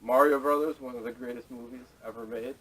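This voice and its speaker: A man with a mid-range voice co-hosts a loose, casual conversation about films. He speaks haltingly and restarts his questions. The sound comes from a camera mic some distance away, with faint noise behind him. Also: mid-range voice, steady tone, fairly bright tone. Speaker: podcast co-host. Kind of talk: casual chat about movies. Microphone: camera microphone at a distance. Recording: poor camera audio, distant and noisy.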